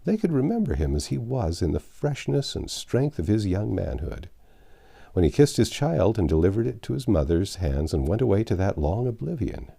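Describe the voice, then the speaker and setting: A man reading classic literature aloud as an audiobook narrator, phrasing deliberately and expressively. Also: fairly low pitch, very animated, creaky, warm tone, breathy edge.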